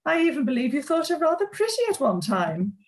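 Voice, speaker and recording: A woman's voice: high-pitched, very animated, brisk. A woman playing a haughty, spiteful young society lady in a period radio play, with an affected, disdainful drawl. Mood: catty, scornful.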